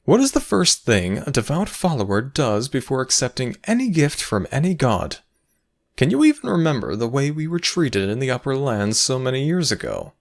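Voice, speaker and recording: Lofty, high-pitched Egyptian god voiced by a man. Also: vocal fry. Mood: amused, chiding. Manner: formal, theatrical, patient, superior. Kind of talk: dramatic ASMR audio roleplay.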